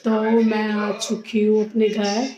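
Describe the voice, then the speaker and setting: A woman's voice: medium pitch, completely flat delivery, takes it slowly, highs cut off, crowd noise. A young woman with a sore throat, chatting casually to her vlog viewers and showing things one by one to the camera. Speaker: vlogger. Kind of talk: casual family vlog chat.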